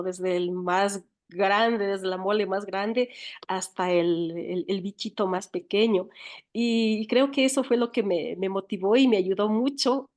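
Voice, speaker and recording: A woman with an Ecuadorian accent answers interview questions as a writer and storyteller. Her voice sits at an average pitch. She is reflective and feels her way through thoughts, often doubling back on words. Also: darkish tone. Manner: thoughtful, halting with repeated words.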